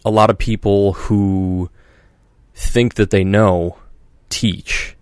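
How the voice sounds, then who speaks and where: Low-pitched guy rambling on a podcast, lots of likes and you knows, does little voices for quotes, dry sound. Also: fairly even intonation, slow, clean tone, no echo. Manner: rambling, many fillers and restarts, acts out quoted voices. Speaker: podcast host. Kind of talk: casual chat.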